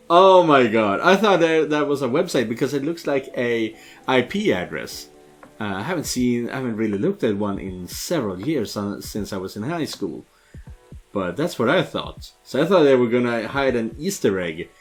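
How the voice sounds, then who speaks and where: A man's voice: medium pitch, a bit bright. A man from Sweden giving casual, rambling let's play commentary, hesitating as he goes. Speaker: streamer playing and commenting on a visual novel.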